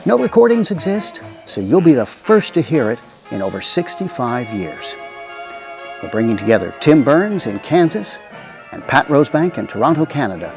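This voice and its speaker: A man's voice: medium pitch, sing-song, normal speed, music in the background. A man hosting with a scripted radio introduction, speaking conversationally straight to the listener. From United States. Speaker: host.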